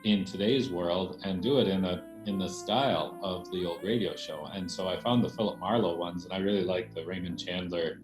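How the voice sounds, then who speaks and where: A man's voice: low-pitched, moderate pace, few pauses. A man answering interview questions as a theater director, explaining things conversationally. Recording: roomy echo, faint music.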